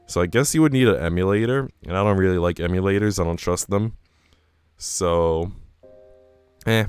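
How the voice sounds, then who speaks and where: A man's voice: deep voice, moderate pace, clean tone, no room sound, studio quality. A man live-streaming a visual novel, reading it aloud and chatting casually in slangy asides. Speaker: game streamer. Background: music.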